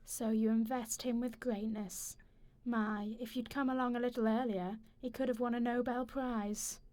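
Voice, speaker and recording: A woman playing a wronged older wife in a radio play, dignified and bitter, with a measured, probing, accusing delivery. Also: a little high, steady tone, darkish tone, natural voice. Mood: dry, bitter.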